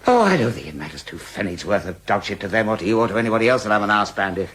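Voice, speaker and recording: An actor in a radio drama playing a defiant, foul-mouthed Nazi brownshirt commander, sardonic and blunt in manner. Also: male voice, lowish voice, very animated, very fast, a bit bright, breathy edge, heavily acted. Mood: scoffing.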